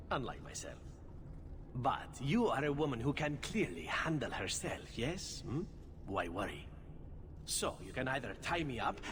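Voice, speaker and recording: A man playing a suave, flirtatious elf assassin in a video game, with a playful, theatrical delivery. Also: mid-range voice. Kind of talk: video game character acting. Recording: noisy ambience, long reverb.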